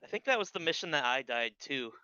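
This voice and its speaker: A man's voice: mid-range voice, very animated, fast, bright, forceful tone, clean tone, no room sound, highs cut off. A man who plays in the game, reacting and commenting in casual chat. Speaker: tabletop game player. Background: music.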